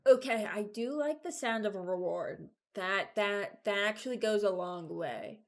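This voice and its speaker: Woman, medium pitch, casual video commentary, unscripted, hesitant, thinking out loud. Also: unhurried. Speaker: video creator ranking game voice lines.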